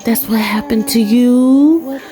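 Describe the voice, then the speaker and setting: A woman rapping over a beat with a slow, low, steady spoken-word delivery. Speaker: rapper. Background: clear backing music.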